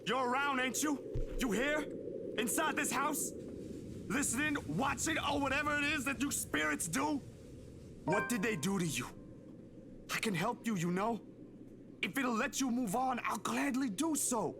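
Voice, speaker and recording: A man's voice: very high-pitched, animated, measured pace, long pauses, full character voice. An actor playing an earnest, agitated young man in a video game, speaking in an urgent, imploring, informal way. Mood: earnest coaxing. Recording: very noisy game stream audio.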